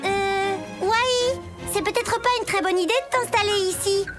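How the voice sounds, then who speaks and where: A woman voicing an earnest, brave young wolf cub in an animated cartoon, with a youthful manner. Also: extremely high pitch, normal speed. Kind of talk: animated cartoon dub acting. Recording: loud music under the voice.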